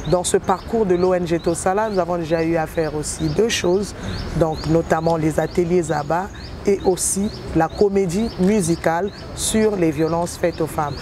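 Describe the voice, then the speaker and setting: A woman in her forties with a Congolese French accent talks slowly and deliberately, with many pauses and hesitations. She is recorded outdoors on a city street, where birdsong and passing cars come through clearly behind her voice. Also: lowish voice, background noise. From Republic of the Congo. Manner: measured, with frequent hesitations and short phrases. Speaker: musician interviewed as guest. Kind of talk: interview answer. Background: birds and passing vehicles.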